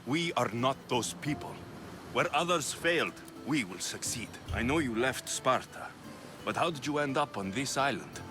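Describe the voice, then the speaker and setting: An actor playing a brooding, determined young Greek mercenary, terse, intense and solemn, in a dramatic video game scene. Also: male voice, mid-range voice, lively intonation, moderate pace, lightly acted. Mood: grim determination. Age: young adult. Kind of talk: dramatic game cutscene acting. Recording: music behind the voice.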